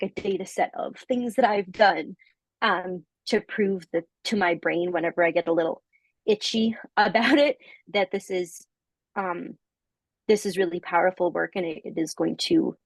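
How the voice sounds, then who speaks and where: A woman's voice: fairly low pitch, fairly even intonation, dry recording, poor audio. Young woman on a call, lots of ums and likes, keeps restarting, laughs a bit, dry close sound. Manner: halting, many fillers and restarts, laughs often. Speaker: coaching client giving a testimonial. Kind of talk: casual reflective conversation.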